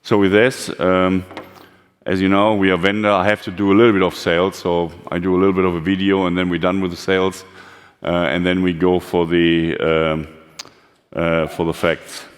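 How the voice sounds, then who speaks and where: A man's voice: low voice, flat delivery, normal speed, clean tone. A man with a German accent, a company chief giving a blunt, candid conference talk with fillers and non-native phrasing. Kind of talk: conference presentation. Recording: long hall reverb.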